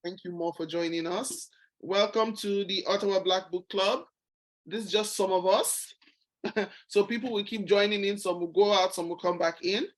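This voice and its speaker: A woman founder hosting a book club over a video call, speaking in a friendly, relaxed way. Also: mid-range voice, normal speed.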